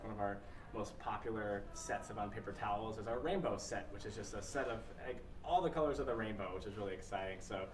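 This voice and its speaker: A man speaking as an interview guest, casually and upbeat, explaining his marketing work. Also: lowish voice. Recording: roomy, distant mic, faint noise.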